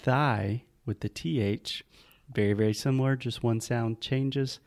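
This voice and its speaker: A man's voice: mid-range voice, steady tone, measured pace, a little mellow. A man co-hosting a casual chat podcast, patiently explaining English pronunciation. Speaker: podcast co-host, English teacher.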